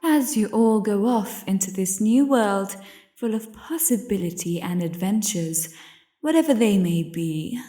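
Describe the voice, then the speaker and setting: A woman playing a proud, formal witch academy headmistress giving a measured audio drama speech, with echo. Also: medium pitch, expressive, dark tone, natural voice. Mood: proud, ceremonial. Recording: echoey, reverberant hall sound.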